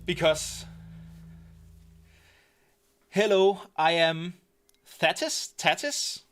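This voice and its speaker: A man's voice: high voice, expressive, drawn-out words, fairly bright tone. A man with a Danish accent casually talking through a game on a live stream, rambling and explaining as he plays, with frequent ums and restarts. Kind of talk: casual live-stream commentary while playing.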